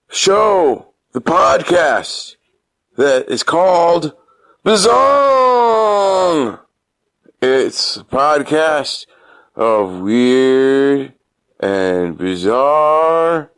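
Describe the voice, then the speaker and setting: Slow, creaky-voiced man doing a theatrical plug. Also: fairly high pitch, a bit bright. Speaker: guest caller giving a show intro. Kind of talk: mock-announcer homage and sponsor plug.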